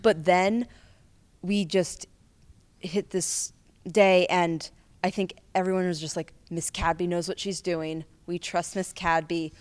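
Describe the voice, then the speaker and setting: Student actor interviewee: young woman, slightly low pitch, informal, animated, talkative. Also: takes it slowly. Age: young adult. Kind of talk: casual interview chat.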